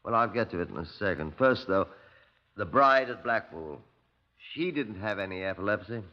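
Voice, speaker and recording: Actor as fussy pathologist, animated, muffled old radio. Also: male voice, lowish voice, moderate pace, close to an everyday voice. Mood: patient, instructive. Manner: hesitant uh's, bossy, instructive. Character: brisk, fussy male pathologist demonstrating to police. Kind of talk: 1950s radio drama acting. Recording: muffled 1950s radio recording.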